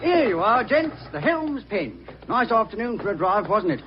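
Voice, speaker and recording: High-pitched cheeky Cockney cabbie. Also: male voice, big pitch swings, moderate pace. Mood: cheery, grateful. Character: cheeky, chatty working-class London cab driver. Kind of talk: old-time radio drama acting. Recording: muffled, noisy old radio recording.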